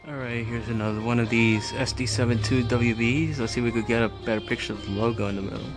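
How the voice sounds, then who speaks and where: A man's voice: mid-range voice, fairly even intonation, fast. Man vlogging casually over store music. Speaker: hobbyist vlogger. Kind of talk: casual walk-through commentary. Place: inside a large home improvement store. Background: background music in a store.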